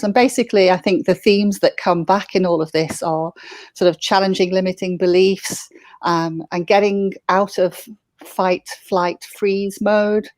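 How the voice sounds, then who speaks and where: A woman's voice: lowish voice, darkish tone, no room sound. A woman coach giving an earnest, reflective introduction with some hesitations. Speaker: business coach. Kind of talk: panel self-introduction.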